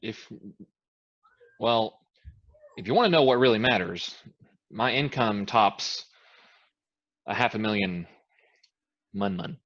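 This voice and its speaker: Man acting a cocky, husky-voiced young big earner. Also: mid-range voice, big pitch swings, takes it slowly, long pauses, a bit bright, lo-fi sound, full character voice. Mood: boastful. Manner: boastful, demanding. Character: cocky, domineering twenty-five-year-old man bragging of riches. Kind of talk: staged play reading.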